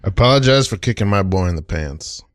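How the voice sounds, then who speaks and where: Casting: man as protective, indignant dad, deep voice, stern and accusing, improv comedy, very dry sound. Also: animated, fairly quick, no echo, lightly acted. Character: indignant, protective father of an urchin boy.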